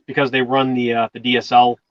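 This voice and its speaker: A man's voice: medium pitch, level tone, fast, no echo. Easygoing man on a dull video call. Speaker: guest on a live video chat. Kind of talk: casual chat.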